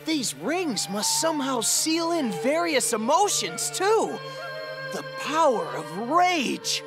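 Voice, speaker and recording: A man's voice: very high voice, very animated, slow pace, music in the background, heavily acted. A man playing a cocky, brave young hedgehog hero in a video game, with a casual, breezy delivery. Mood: thoughtful. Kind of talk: video game cutscene acting.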